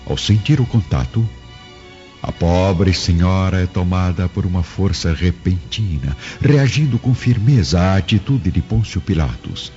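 A man's voice: low-pitched, animated, measured pace, mellow. A man giving dramatic scripted narration for an audio novel. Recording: dull lo-fi recording over music.

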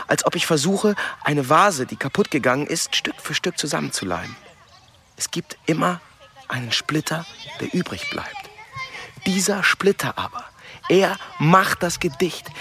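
A man's voice: on the high side, sharp tone, full character voice. A brooding schoolboy poet speaks in a radio play. He is intense and declamatory, like someone obsessed with perfection. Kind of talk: German radio drama acting.

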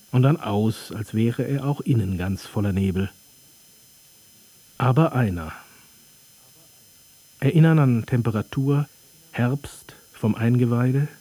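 An actor playing a brooding, sardonic narrator in a literary radio play, speaking in terse, dry, deliberate fragments. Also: male voice, mid-range voice, dark tone, husky, no room sound.

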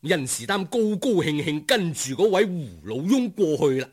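A man with a Cantonese accent narrating a folk tale as a dramatic, expressive storyteller who savours each description. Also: fairly high pitch, normal speed, husky.

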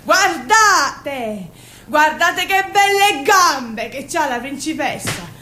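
High-voiced, brash young Sicilian woman. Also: lively intonation, takes it slowly, sharp tone, exaggerated voice. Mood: mock grand, proud. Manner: emotional, swinging between confiding and exclaiming. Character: Rosaria, brash, dreamy young Sicilian woman, poor and yearning. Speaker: stage actress. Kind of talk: theatrical monologue in Sicilian-inflected dialect. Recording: roomy sound, faint low rumble.

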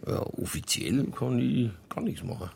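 An easygoing police detective in a radio crime play. His gravelly voice sits at a middle pitch and chats in an everyday pub manner. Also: lively intonation, normal speed, a little mellow. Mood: amused.

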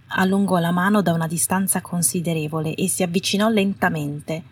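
A woman's voice: on the low side, darkish tone, husky. A woman reading scripted fiction as an audiobook narrator. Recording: roomy echo, distant from the mic.

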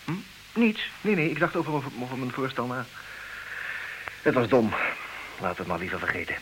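A man's voice: mid-range voice, very animated, brisk, fairly bright tone, slight background noise, low-quality recording. A man playing a hesitant, resigned betrayed husband who stammers and repeats words, in in an old radio play. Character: hesitant, resigned husband who knows of his wife's infidelity. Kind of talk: old Dutch radio drama acting.

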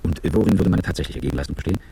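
A man's voice: very deep voice, racing, creaky, dark tone, slight background noise. A man playing a cool, calculating, world-weary business executive who tells his story reflectively and articulately in a radio drama. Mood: guarded, probing. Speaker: narrator and lead character. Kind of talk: radio drama first-person narration.

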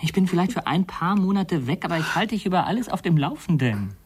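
Stubborn, earnest teenage grandson. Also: male voice, sits high, lively intonation, quick pace, slight background noise. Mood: stubborn resolve. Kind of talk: German radio drama acting.

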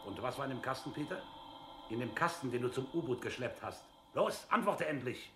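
An actor playing a ruthless, impatient secret-police captain in a German radio drama, speaking in a harsh, threatening, commanding way with a husky voice. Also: male voice, medium pitch, animated, a little fast, sharp tone, slight background noise. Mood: impatient, pressing.

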